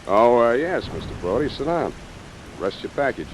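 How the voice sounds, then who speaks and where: An actor playing a cool, sardonic private detective with dry, clipped wisecracks, in 1940s radio noir style. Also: male voice, mid-range voice, sing-song, normal speed, background noise, natural voice. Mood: dry, skeptical.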